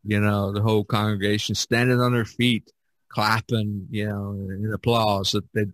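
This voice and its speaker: A man hosting a casual podcast chat, reminiscing in a halting, rambling way and often repeating his words. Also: on the low side, little pitch movement, fairly bright tone. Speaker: podcast host.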